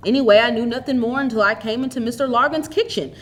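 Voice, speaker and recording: A woman's voice: fairly high pitch, quick pace, close to an everyday voice. A woman voicing a hearty, eager older brother come home rich in a storybook tale. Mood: excited, breathless. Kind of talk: dramatic storybook reading.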